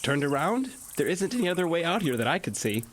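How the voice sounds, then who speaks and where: A man playing an easygoing high school boy in a radio play, talking casually with a slight hesitance. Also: big pitch swings, fast talker. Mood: casual, defensive.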